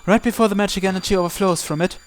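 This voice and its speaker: A man reading a visual novel aloud in an expressive, dramatic first-person narration with occasional stumbles. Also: high-pitched, racing, soft background music.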